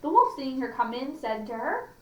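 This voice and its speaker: Expressive woman reading stories aloud, echoey room. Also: sits high, moderate pace, slight background noise. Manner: expressive, questioning asides to listeners. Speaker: library storytime host. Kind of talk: read-aloud storytelling for children with chatty asides. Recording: echoey room, slightly distant mic.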